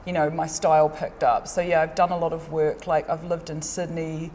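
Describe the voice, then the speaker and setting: A woman's voice: low-pitched, level tone, a little mellow. Chatty New Zealand woman in reverb. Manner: chatty, many fillers, quick laughs. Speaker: artist guest. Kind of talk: casual interview chat. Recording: long reverb, faint background noise.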